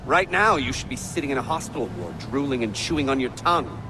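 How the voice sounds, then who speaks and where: A man's voice: mid-range voice, lively intonation, fast talker, noisy background, lightly acted. An actor playing an unstable, taunting man trapped as a ghost inside a machine, with a theatrical, sardonic, erratic delivery for a video game. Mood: sardonic, urgent.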